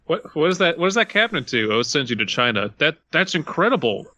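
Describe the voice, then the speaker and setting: A man's voice: on the high side, big pitch swings, fast, pushed and bright, dull audio. A man co-hosting a podcast, reading a story aloud and making wry quips. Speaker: podcast co-host.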